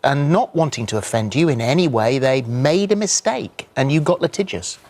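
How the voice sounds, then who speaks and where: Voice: medium pitch, lively intonation, normal speed, a little mellow. A television presenter asking the guest pointed, challenging questions.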